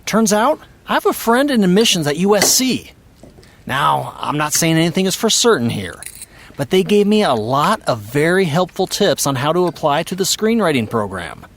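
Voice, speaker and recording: An actor playing a kindly middle-aged father who stammers as he tries to soften hard news. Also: medium pitch, big pitch swings, normal speed. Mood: encouraging. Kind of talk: audio drama acting.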